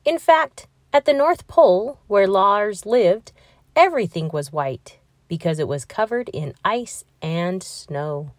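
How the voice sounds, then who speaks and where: A woman narrates a picture book for children. Her pitch moves around in an animated way, and her delivery is expressive and deliberate. The sound is dry and close. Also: no echo. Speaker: storybook reader. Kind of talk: children's read-aloud narration.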